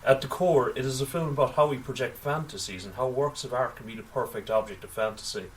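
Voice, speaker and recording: A man co-hosting a casual film news podcast, sometimes reading items out, with halting delivery and wry asides. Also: mid-range voice, a little hiss or hum. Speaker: podcast co-host. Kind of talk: casual film news discussion, partly read out. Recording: voice slightly distant from microphone.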